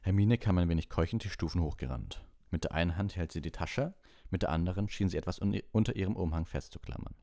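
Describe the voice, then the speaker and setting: A man with a low voice narrates a storybook. The recording is dry and close, in the manner of an audiobook reading. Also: fast talker, vocal fry, warm tone, no echo. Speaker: audiobook reader and streamer.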